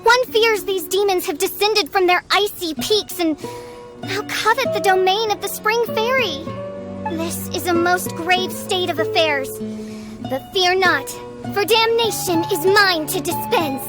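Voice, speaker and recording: A woman's voice: very high voice, a little slow, over music. A woman voicing a haughty, theatrical self-styled young princess in a video game, speaking in grandiose, archaic phrases. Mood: grave, grandiose. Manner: pompous, archaic, grandiloquent speech. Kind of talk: video game character acting.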